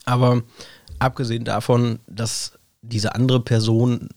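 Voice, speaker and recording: A man, hoarse with a cold, musing in a relaxed chat and padding his talk with fillers and tag questions. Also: medium pitch, measured pace, no echo. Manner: thoughtful, rambling, many fillers and tag questions. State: hoarse with a cold, scratchy throat. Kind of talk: reflective casual chat.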